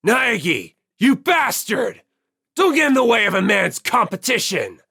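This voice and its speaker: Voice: mid-range voice, sing-song, gravelly, sharp tone, slightly rough, pitched above the performer's own voice, exaggerated voice. A narrator as a hot-headed teenage gang leader. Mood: furious, challenging. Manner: aggressive, drawn-out challenges. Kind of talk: audiobook character voice.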